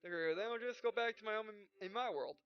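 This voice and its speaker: A man's voice: sits high, quick pace, clear, resonant tone. A man doing a let's play, reading game dialogue aloud in a rambling, stumbling way with filler syllables and asides. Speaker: gaming video host. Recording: muffled lo-fi mic, music behind. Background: game music.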